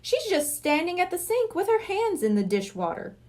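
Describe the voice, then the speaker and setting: A woman's voice: very high voice, sing-song, moderate pace, a little mellow, voice pushed up. A woman reading a storybook as a curious, easygoing young boy who talks casually.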